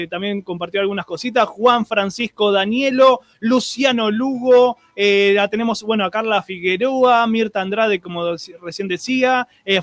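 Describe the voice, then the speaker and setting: A man's voice: sits high, fairly bright tone, no echo. A man with an Argentine accent hosting a streamed radio show, chatting and greeting listeners with many restarts and fillers.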